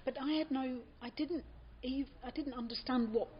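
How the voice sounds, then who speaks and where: A woman's voice: sits high, moderate pace, slight background noise. An older woman with a British accent reminisces in an interview, telling anecdotes reflectively and voicing what people said. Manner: anecdotal, reflective, acts out reported speech. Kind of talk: reminiscing in an oral history interview. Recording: dull, muffled lo-fi recording.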